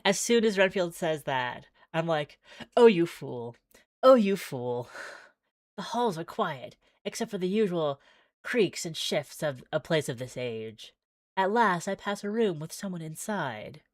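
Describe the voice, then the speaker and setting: A woman's voice: on the low side, expressive, measured pace, no room sound, studio quality. A woman streaming a visual novel, reading the game text aloud with theatrical flair and chatty asides.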